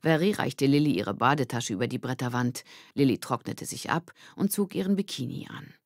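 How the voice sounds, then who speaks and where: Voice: mid-range voice, very animated, brisk, no room sound, clean studio sound. A narrator reading a scripted children's story. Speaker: audiobook narrator.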